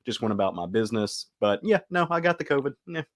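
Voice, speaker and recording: A young man chats on a podcast with a stuffy, congested voice. He talks in an easygoing way, halting with fillers as he goes. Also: mid-range voice, big pitch swings. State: congested with a cold. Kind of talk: casual chat.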